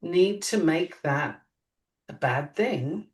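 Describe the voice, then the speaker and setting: A woman co-hosting a podcast in casual chat, musing and lively, her pitch jumping up on emphatic questions. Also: takes it slowly. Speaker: podcast co-host. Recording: voice a bit distant from mic.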